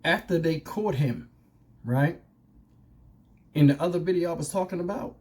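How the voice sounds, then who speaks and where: A man's voice: fairly high pitch, normal speed, darkish tone. A man giving casual opinion commentary as a channel host, speaking colloquially and conversationally with rhetorical questions.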